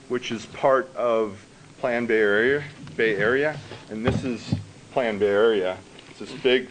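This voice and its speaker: Man, booming lowish voice, chatty talk with ums, set-down mic in a hall. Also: slight background noise. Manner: conversational storytelling with fillers. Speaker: conference speaker. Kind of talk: conference talk. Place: conference hall. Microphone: set-down microphone.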